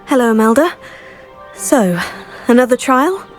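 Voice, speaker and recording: A high, youthful girl's voice, the heroine of a fantasy game. She is polite, helpful and self-assured, with light music in the background. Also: animated, unhurried. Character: confident, helpful teenage girl student, game heroine. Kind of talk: video game character dialogue.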